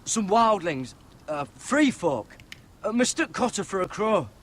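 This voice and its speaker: An earnest young runaway watchman speaks in a fairly high voice with lively intonation. He is uneasy yet sincere, in fantasy game drama. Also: measured pace, a little hiss or hum, full character voice. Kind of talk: dramatic video game acting.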